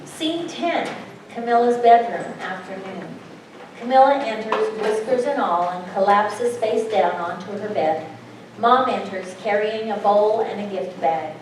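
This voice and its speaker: A woman's voice: mid-range voice, a little slow. Distant, echoey woman reading stage directions. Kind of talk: scripted narration at a staged reading. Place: live staged reading in a hall. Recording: echoey hall from far off, faint noise.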